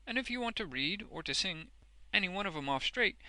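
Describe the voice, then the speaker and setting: A man's voice: sits high, expressive, moderate pace, gravelly, bright, forceful tone, no echo, pitched above the performer's own voice, exaggerated voice, poor audio. A man voicing a hearty, uneducated old working man in a storybook, blunt and exuberant, with emphatic, ungrammatical speech. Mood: admiring.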